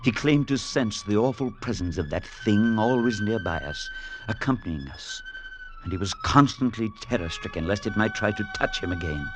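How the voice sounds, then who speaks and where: An actor playing a calm priest who narrates a vintage radio drama in measured, grave tones. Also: male voice, lowish voice, close to an everyday voice, low-quality recording. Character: calm middle-aged male priest narrating. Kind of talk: 1940s radio acting.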